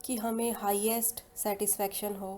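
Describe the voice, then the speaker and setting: Indian female meditation guide, mellow and soothing, long pauses, soft music underneath. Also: mid-range voice, flat delivery. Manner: soothing, unhurried, with long pauses between phrases. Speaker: guided meditation and hypnosis leader. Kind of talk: slow guided meditation narration.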